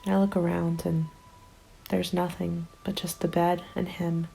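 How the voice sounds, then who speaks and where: A woman playing a weary, despairing zombie apocalypse survivor in a scripted ASMR roleplay, hushed and often slipping into a whisper. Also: on the low side, level tone, measured pace, creaky, breathy edge, full character voice, low-quality recording. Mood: bleak, despairing. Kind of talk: ASMR roleplay, scripted first-person narration. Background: soft music bed.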